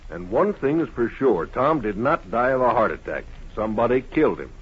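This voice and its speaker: An actor playing a steady, folksy small-town sheriff in an old radio Western, questioning people in a measured, matter-of-fact way. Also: male voice, mid-range voice, breathy edge. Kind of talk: 1950s radio Western acting. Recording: muffled old-time radio recording.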